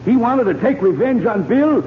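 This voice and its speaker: An actor playing a hearty American Western sheriff in an old-time radio drama. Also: male voice, very high voice, lively intonation, moderate pace. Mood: pleased. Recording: tinny, echoey, noisy old radio recording.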